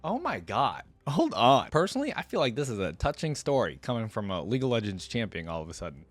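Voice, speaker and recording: A man's voice: medium pitch, huge pitch swings, quick pace, no room sound. A man reading a story aloud as a reaction host, with informal, slangy asides, recorded close on a microphone.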